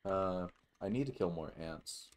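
A man giving casual, halting gameplay commentary as host, with some offhand swearing. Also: low voice. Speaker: gaming video host.

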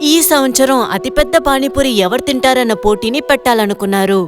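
A woman's voice: sits high, a little fast, music in the background. A woman reading scripted narration for a moral story.

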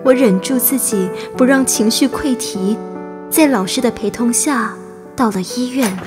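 A woman's voice: a little high, measured pace, lightly acted. A woman acting an earnest, emotional teenage immigrant girl in a reflective radio drama. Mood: reflective, sorrowful. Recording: music behind the voice.

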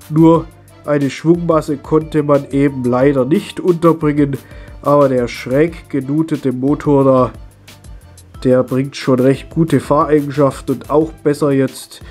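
Man with a cold, husky congested voice, chatty hands-on tutorial, soft music underneath. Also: mid-range voice. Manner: chatty, explains step by step while working with his hands. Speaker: model railway hobby video host.

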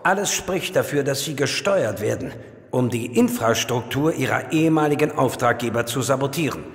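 An actor playing a formal, deliberate, secretive military colonel in an audio drama. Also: male voice, medium pitch, animated, natural voice. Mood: grave. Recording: echoey room, long reverb.

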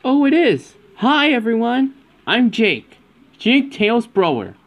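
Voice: medium pitch, big pitch swings, slow, dull audio. A performer voicing a lazy, playful cartoon fox big brother, with a drawling, theatrical delivery. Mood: cheerful. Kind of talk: character voice lines, acted.

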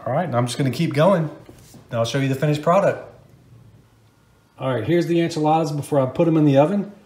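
A man's voice: on the high side, quick pace, a little mellow, soft background music. Middle-aged American man, echoey kitchen. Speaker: home cooking video host. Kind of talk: casual how-to cooking narration. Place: home kitchen, indoors.

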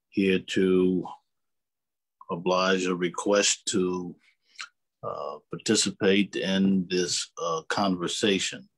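A man's voice: sits low, slightly rough, low-quality recording. A man speaking as a city councilmember, giving brief formal remarks at an online meeting.

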